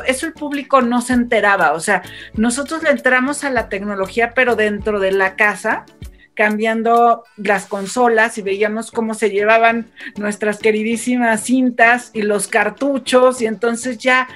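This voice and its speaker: Mexican woman, middle aged, highish voice, chatty radio announcer telling anecdotes, keeps checking you're following. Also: normal speed, a bit bright, soft background music. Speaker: radio announcer and panel guest. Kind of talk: casual anecdotal chat.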